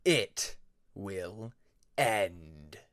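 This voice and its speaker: A man voicing a menacing, shadowy dragon from an audiobook story, with a drawn-out, ominous and taunting delivery. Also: deep voice, lively intonation, a bit bright, dry recording, clean studio sound, pitched below the performer's own voice, full character voice.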